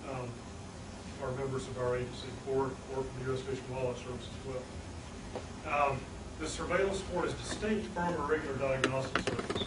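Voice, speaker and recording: A man with a hoarse voice from a cold gives a conference presentation in a relaxed, plainspoken way, with frequent ums and wry asides. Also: medium pitch. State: hoarse, getting over a cold. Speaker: wildlife diagnostic lab presenter. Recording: roomy echo, distant mic, loud background noise.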